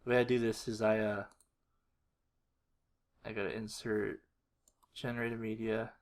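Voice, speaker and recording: A man giving a casual screen-recorded walkthrough, thinking aloud in a low-key, halting mutter. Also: level tone. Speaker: video creator. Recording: faint noise with keyboard clicks. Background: computer keyboard typing and mouse clicks.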